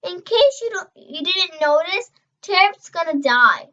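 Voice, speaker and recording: High, bright young girl reading aloud. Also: a little slow, dull audio. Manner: expressive, emphatic on dialogue, stumbles over words. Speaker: reader. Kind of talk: reading a children's novel aloud.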